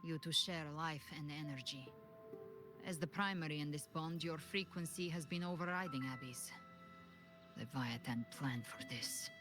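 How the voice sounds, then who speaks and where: A grave, knowing advisor in a game cutscene who explains things in a deliberate, serious way. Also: mid-range voice, lightly acted. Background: game music.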